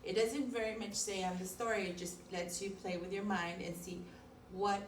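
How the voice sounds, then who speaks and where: A woman's voice: medium pitch, steady tone, normal speed. A woman speaking as a preschool teacher, informally explaining things to children and rephrasing them simply. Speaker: preschool teacher co-hosting a video lesson. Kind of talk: informal lesson chat for children. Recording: echoey room, distant mic, faint noise.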